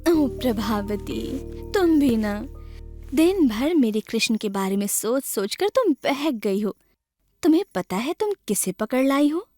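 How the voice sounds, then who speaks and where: A woman playing a calm, indulgent village mother in a children's cartoon, soothing and gently teasing. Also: sits high, measured pace, dry recording, faint music behind, exaggerated voice. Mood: gently chiding.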